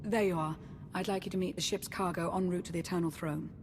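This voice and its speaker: A woman's voice: lowish voice, steady tone, fast, over music, lightly acted. An actress playing a courteous, assured female adviser in a video game, speaking formally. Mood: composed, courteous.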